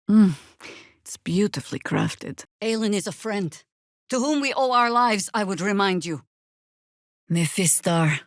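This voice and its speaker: An actress voicing a stern, wry veteran druid woman in a fantasy video game, with a dry, sardonic, measured delivery. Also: on the low side, no echo. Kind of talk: fantasy game character dialogue.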